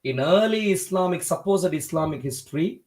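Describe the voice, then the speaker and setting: A man from India hosting a debate livestream, talking in a measured, polite way with frequent hesitations. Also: high-pitched, lively intonation, darkish tone. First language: not Hindi. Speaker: livestream host.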